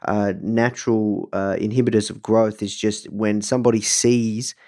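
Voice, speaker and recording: A man with a fairly low voice hosts a podcast interview. His questions are casual and informal, and he feels his way through them haltingly. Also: level tone, darkish tone.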